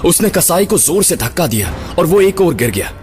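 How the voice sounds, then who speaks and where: A man's voice: a little high, sing-song, normal speed. A man narrating a horror story in a dramatic, scripted storytelling style. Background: loud background music.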